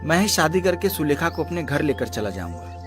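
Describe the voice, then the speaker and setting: A man's voice: fairly high pitch, sing-song, fairly quick, music in the background. A man playing an earnest, resolute young engineer and devoted suitor in a moral story drama. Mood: respectful, pleading. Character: earnest, lovestruck young male engineer, firm-minded son. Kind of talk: Hindi moral story voice acting.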